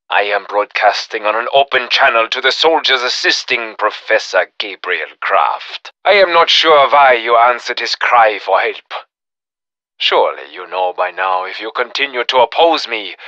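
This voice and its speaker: An actor playing a cold, cruel, fanatical German military officer with a German accent, a theatrical and commanding video game villain. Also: male voice, medium pitch, bright, forceful tone, no echo, muffled recording. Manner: theatrical, commanding, contemptuous, deliberate. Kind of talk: video game villain voice acting, menacing monologue.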